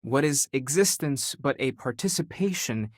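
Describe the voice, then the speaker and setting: An actor playing an earnest, thoughtful young explorer of faith in a video game, speaking sincerely and a little hesitantly. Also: male voice, mid-range voice, animated, normal speed, no room sound, studio quality, natural voice. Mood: reflective, reverent. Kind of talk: scripted game dialogue.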